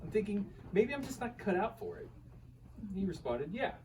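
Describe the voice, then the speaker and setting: A man acts a sheepish husband full of self-doubt, talking ruefully in a live radio play. His voice is on the higher side and very animated, caught some way from the mic with faint room noise. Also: normal speed, distant mic. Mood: rueful storytelling. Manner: conversational, rueful, animated storytelling. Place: live reading at a bookshop event.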